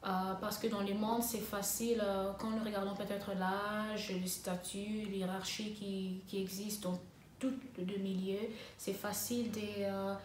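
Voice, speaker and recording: A young woman in her twenties talks in a flat, even tone. She pauses often and restarts her sentences as she answers questions. The room is echoey, with faint ambient noise behind her. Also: mid-range voice, far from the mic. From Congo (DRC), raised in South Africa. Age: 20s. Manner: hesitant, many fillers and restarts. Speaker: interviewee, master's student. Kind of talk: interview answers.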